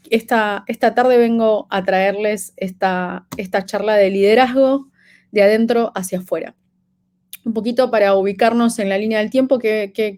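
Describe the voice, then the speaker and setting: A woman's voice: medium pitch, a little mellow. A woman in her thirties from Peru, with a light Peruvian accent from living in Argentina, giving a conference talk with frequent fillers and restarts. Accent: light Peruvian, lived in Argentina. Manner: hesitant, many fillers and restarts. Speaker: conference speaker.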